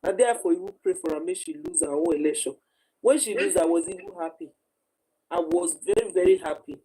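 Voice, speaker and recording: A woman with a Nigerian accent argues her opinions in a mellow, rounded voice. She is emphatic and circles back on her words, mixing in Pidgin. The recording has faint noise and clicking. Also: fairly low pitch, animated, fairly quick, poor audio. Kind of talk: heated informal discussion with Pidgin mixed in.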